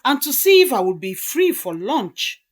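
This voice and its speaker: A woman's voice: high voice, very animated, normal speed. A woman playing an indignant, melodramatic middle-aged mother in a story drama. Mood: shocked, indignant. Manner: emphatic, melodramatic.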